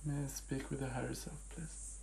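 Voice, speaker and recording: A man facilitating a hypnotic healing session, giving gentle instructions and asking questions. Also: mid-range voice, flat delivery, moderate pace, gravelly, slight background noise.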